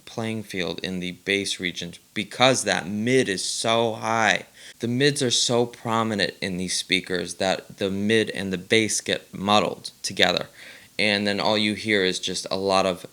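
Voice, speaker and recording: A man's voice: fairly low pitch, pushed and bright, clear, resonant tone. A man hosting a casual, unscripted review, talking enthusiastically and often repeating and rephrasing his points. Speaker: vlog host reviewing audio gear.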